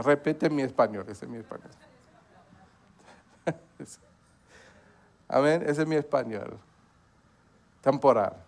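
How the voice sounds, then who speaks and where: A male pastor delivers a sermon, his somewhat high voice swelling on emphatic points and sinking low at the ends of phrases. He keeps punctuating his preaching with amens. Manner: preaching with frequent amens, rising emphasis and sudden drops.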